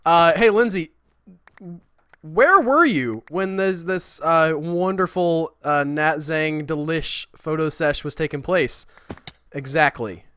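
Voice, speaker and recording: A man chatting casually while he streams a building game, rambling and self-deprecating, with a high, squeaky voice. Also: slow pace, sharp tone, clean tone. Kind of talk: casual livestream chat.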